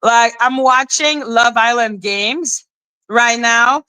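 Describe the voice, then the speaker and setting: Bright-voiced woman ranting. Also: fairly high pitch, takes it slowly, smooth, no echo, poor audio. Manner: sarcastic, exasperated, rambling. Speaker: livestream host. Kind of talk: ranting live-stream commentary.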